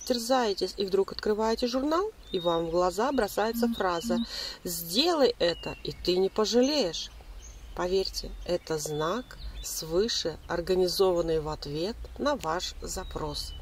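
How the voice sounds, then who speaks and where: A woman reading a scripted spiritual self-help voice-over in a measured, explanatory manner with deliberate pauses. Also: medium pitch, a little hiss or hum. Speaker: narrator giving spiritual self-help advice.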